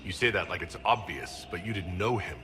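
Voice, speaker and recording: A man voicing a measured male leader in a video game cutscene, asking calm, skeptical questions. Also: low voice, background noise.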